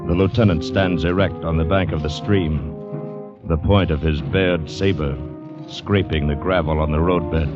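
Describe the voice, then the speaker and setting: An actor playing a grave, formal narrator of an old-time radio drama, with measured, solemn delivery. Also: male voice, low voice, dull audio. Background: prominent music.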